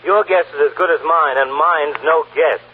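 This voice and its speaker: An actor playing a breezy, insinuating gossip columnist with a husky voice in a 1940s radio drama. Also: male voice, a little high, moderate pace, a little hiss or hum, natural voice. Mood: sly, breezy. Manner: punchy, insinuating. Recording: thin narrow-band 1940s radio recording.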